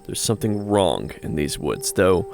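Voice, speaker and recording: Animated man narrating a visual novel. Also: sits low, normal speed, vocal fry. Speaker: co-host reading a game aloud. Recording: music and chirping night insects behind.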